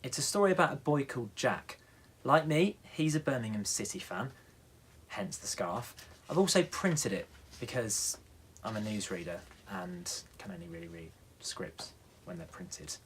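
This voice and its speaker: A man's voice: on the low side, very animated, normal speed, a bit bright, a little hiss or hum. A male radio newsreader narrating a children's bedtime story.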